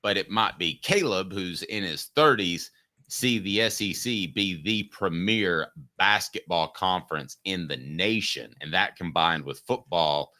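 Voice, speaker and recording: A man's voice: low voice, unhurried, pushed and bright. A man in his fifties hosting a sports talk show, chatty and jokey, asking long questions of his co-host. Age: 50s.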